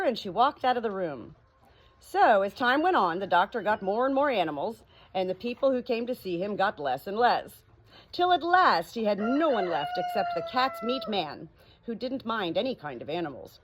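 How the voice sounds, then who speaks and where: A woman reading to listeners as an audiobook storyteller, in an informal, expressive and emphatic manner suited to children's books. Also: medium pitch, normal speed. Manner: animated, emphatic, drawing out key words. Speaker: audiobook reader. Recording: noisy farmyard ambience, roosters crowing. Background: farm animals, crowing roosters.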